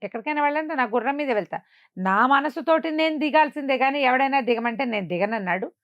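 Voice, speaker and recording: A woman's voice: high-pitched, animated, fast, fairly bright tone, dry recording, lo-fi sound, pitched above the performer's own voice. A woman storyteller voicing a proud, defiant young warrior prince with a haughty, insistent manner.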